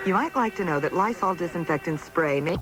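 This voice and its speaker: A woman's voice: fairly low pitch, lively intonation, brisk, over music, close to an everyday voice, poor audio. A woman playing a wry wife and mother in a radio commercial.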